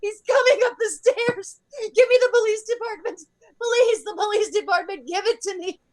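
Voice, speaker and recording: A frantic invalid woman, alone and terrified in a radio thriller. Her very high voice stammers and repeats words in panic, in the manner of old-time radio suspense. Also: moderate pace, a little mellow, rough recording. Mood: desperate panic.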